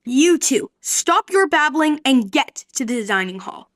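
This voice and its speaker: A woman's voice: sits high, sing-song, measured pace, exaggerated voice. A woman voicing a harsh, strict orphanage matron who scolds the children. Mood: stern. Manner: scolding, sharp commands.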